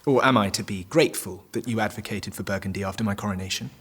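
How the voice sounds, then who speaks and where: A man's voice: on the low side, fast talker, a bit bright, slightly rough. An actor playing a frustrated medieval French king in an audio drama, formal, imperious and bitter. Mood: bitter, indignant. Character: frustrated, indignant young French king in the fifteenth century.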